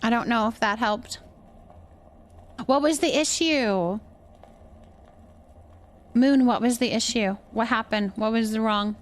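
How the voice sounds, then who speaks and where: A woman's voice: a little high, takes it slowly, pauses often. A woman hosting a game livestream, chatting casually with viewers and helpfully explaining steps as she plays. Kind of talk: casual livestream chat while playing.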